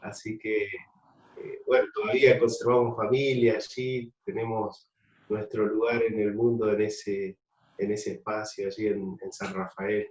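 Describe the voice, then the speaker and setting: Argentine pastor, distant and roomy. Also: male voice, lowish voice, flat delivery, unhurried. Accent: Argentine Spanish. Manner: reflective, fond storytelling. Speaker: pastor guest. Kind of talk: interview testimony. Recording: distant, roomy, dull sound.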